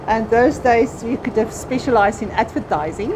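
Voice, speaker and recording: A woman's voice: a little high, moderate pace. A middle-aged South African woman with an Afrikaans accent, whose first language is Afrikaans, chatting loosely as an interview guest and laughing often. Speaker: guest, casting agency founder. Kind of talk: casual interview chat. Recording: faint background noise.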